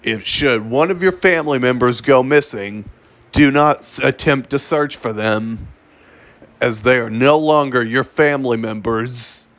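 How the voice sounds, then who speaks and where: A man narrating a scripted emergency broadcast in a formal, solemn and deliberate manner. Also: medium pitch, fairly bright tone. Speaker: emergency alert announcer.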